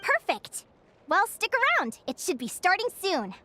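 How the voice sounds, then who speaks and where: A woman playing a spirited, expressive young woman in a fantasy video game. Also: very high voice, normal speed, a little hiss or hum, heavily acted. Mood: cheerful. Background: music.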